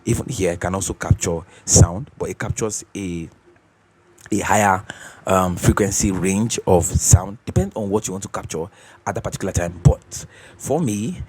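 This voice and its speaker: A man with a deep, bassy voice hosting a home recording tutorial, talking casually and repeating his key points as he checks in with viewers. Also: normal speed, darkish tone, a little hiss or hum. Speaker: host of a home recording channel. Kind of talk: casual tutorial talk to camera. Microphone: studio condenser microphone.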